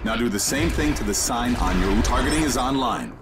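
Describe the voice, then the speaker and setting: An actor playing a calm male mission handler, giving steady instructions in a video game. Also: mid-range voice, a little fast, natural voice. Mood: guiding. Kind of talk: video game cutscene voice acting. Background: game score music.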